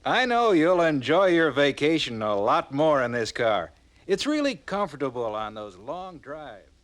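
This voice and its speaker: A 1950s American car salesman in an old training film. His voice sits fairly high for a man and moves with lively intonation, chummy and reassuring as he pitches. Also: measured pace. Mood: reassuring, persuasive. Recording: vintage 1950s film soundtrack.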